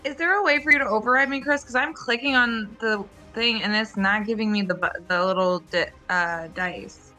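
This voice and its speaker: A woman's voice: a little high, normal speed, hardly any pauses, pushed and bright, faint music behind. A woman chatting casually as a player in a tabletop game. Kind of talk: casual chat.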